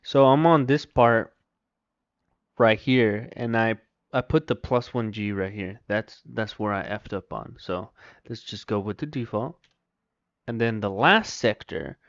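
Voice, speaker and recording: Slow-talking man streaming with a Mexican accent. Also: fairly low pitch, dry recording, highs cut off. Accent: Mexican Spanish. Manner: thinks aloud, mutters to himself, reads chat aloud. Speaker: livestreamer. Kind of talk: casual live commentary while installing software.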